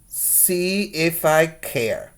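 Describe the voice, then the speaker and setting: Man acting out cheeky kid brother, storybook character, loud, exclaiming. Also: a little high, animated, extremely slow, pitched above the performer's own voice. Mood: taunting.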